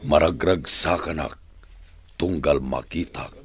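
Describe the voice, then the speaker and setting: A man playing a gruff, lovelorn older prisoner in a comedic radio drama, gravelly voiced, with a Filipino Ilocano accent. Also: slow, full character voice. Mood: wistful, brooding. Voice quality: gravelly, very deep. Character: gruff older male prisoner, lovelorn and teasing, called uncle. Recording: faint music bed.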